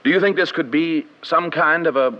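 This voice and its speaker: A man playing a highly strung, snobbish wealthy client in an old radio detective drama, pompous, exasperated and melodramatic. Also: moderate pace, sharp tone. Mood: exasperated, haughty. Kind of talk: 1940s-50s radio comedy-mystery acting. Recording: muffled vintage radio broadcast.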